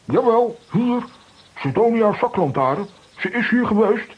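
A man's voice: high voice, animated, a little slow, breathy edge, heavily acted. Comic radio-play man: teasing adventurer, swooping intonation, lo-fi sound. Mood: confident. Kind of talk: children's radio play acting. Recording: dull lo-fi sound, faint noise.

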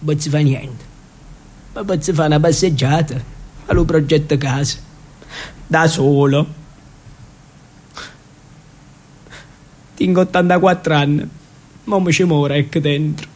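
A man's voice: a little high, steady tone, a little slow, long pauses, a little mellow, voice pushed down, poor audio. A man voicing a feisty, half-deaf grandpa in his eighties from a radio play, repetitive and stammering, with an Abruzzo accent. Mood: forlorn, rambling. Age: 80s, as played. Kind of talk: radio theatre character acting.

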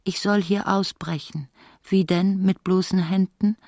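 A woman's voice: mid-range voice, steady tone, measured pace, a little mellow, no echo, clean studio sound, natural voice. An actress playing a middle-aged woman prisoner in an intimate radio play, tender, theatrical and wryly self-mocking. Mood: exasperated. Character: imprisoned ailing woman of forty-eight, tender, self-mocking, longing.

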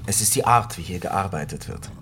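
Low-voiced, eager young newcomer. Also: male voice, brisk, bright, forceful tone, a little hiss or hum. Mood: deferential, uneasy. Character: eager, deferential young male studio newcomer. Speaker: actor in a radio drama. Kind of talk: naturalistic German radio drama acting.

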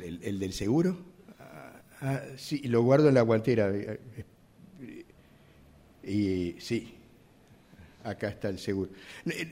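An actor playing a flustered, evasive driver in a radio theatre exercise, stammering and repeating himself. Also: male voice, fairly low pitch, darkish tone. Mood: nervous, obliging. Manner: stammering, repetitive, hesitant. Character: flustered, evasive male driver pleading with police. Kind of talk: improvised radio theatre monologue.

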